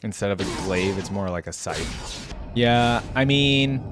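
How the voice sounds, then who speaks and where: A man's voice: on the low side, animated, unhurried, over music. A man giving casual, informal reaction commentary as a video host, thinking aloud and at times reading out.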